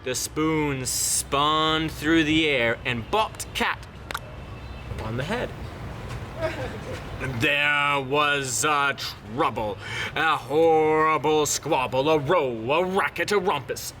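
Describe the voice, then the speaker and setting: A man's voice: fairly high pitch, slow pace, background noise. A man reading a children's picture book aloud in a theatrical way, drawing words out dramatically. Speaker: storyteller.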